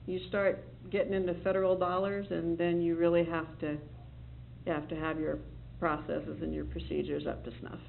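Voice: mid-range voice, little pitch movement, normal speed, creaky, slight background noise. A city staff member briefing a board at a public meeting, rambling and correcting themself while sounding reassuring. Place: meeting room. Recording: tinny, lo-fi meeting recording with faint ambience.

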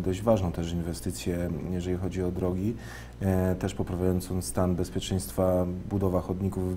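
A man in his thirties with a low, warm voice poses questions as a local TV interviewer, in a measured way with occasional hesitations. A light hiss runs under the lapel mic. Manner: measured, some fillers. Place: local TV studio.

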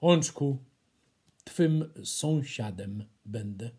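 A man voicing a polite, apologetic wolf in a children's storybook, with a husky voice and courteous manner. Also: medium pitch, animated, very slow, a little mellow, full character voice. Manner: courteous, sheepish. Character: polite, apologetic male wolf, would-be singer.

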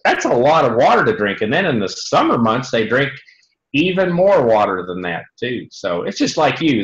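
Man, sixties, American accent, folksy, explaining to children, middle pitch, dull roomy call audio. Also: fairly bright tone. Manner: folksy, checks in with listeners. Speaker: dairy farmer and tour guest. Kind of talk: explaining simply to schoolchildren on a video call. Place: trophy-lined office, indoors. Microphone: computer mic on a video call. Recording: muffled, echoey video call audio.

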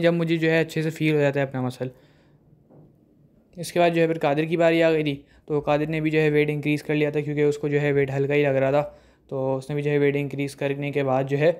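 A young man calmly narrating his gym workout as a voice-over, with many fillers. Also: a little high. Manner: steady recap, heavy fillers.

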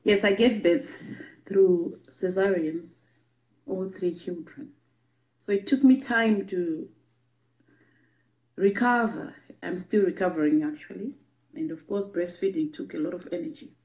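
Woman, forties, from Zimbabwe, earnest vlog talk, halting with ums, muffled roomy audio. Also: on the low side, darkish tone. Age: 40s. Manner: earnest, frequent ums and pauses. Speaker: vlogger and babywearing teacher. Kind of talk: personal storytelling to camera. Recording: muffled, echoey room audio.

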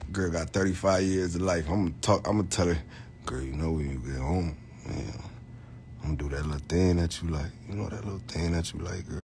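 Brief: young man in his 20s, low-voiced, crude jokester chatting to viewers from bed, phone mic close, faint room hum behind. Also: fairly quick, darkish tone. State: tired, late at night.

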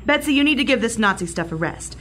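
A high-voiced sassy coworker. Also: female voice, expressive, fast, fairly bright tone, slight background noise. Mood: teasing concern. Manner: wry, teasing, blunt. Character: sassy, protective woman coworker, quick to threaten. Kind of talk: comedic audio drama acting.

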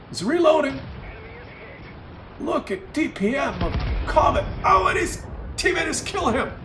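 A man voicing an excitable, mocking game commentator who speaks broken English in a put-on foreign accent. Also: very high-pitched, heavily acted. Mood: eager commentary. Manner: broken grammar, exclaiming and gloating. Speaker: host. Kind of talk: comic commentary on a game replay. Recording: roomy, distant mic with faint noise.

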